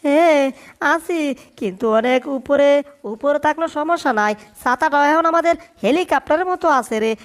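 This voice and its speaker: A performer voicing an excitable, boastful male cartoon cat in a comedy dub, exclaiming and narrating his own antics. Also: high voice, normal speed, lightly acted.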